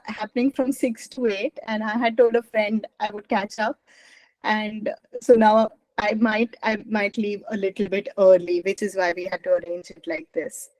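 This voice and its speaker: A woman's voice: mid-range voice, darkish tone, no echo, poor audio. Middle-aged woman, native Gujarati speaker, academic guest lecturer, dry video-call sound, meandering delivery. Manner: rambling, self-correcting, apologetic. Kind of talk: online presentation.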